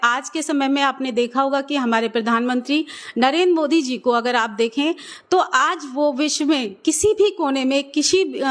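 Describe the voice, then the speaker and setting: A middle-aged woman, a government school teacher, answering interview questions in an earnest, persuasive way with some hesitant fillers. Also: sits high.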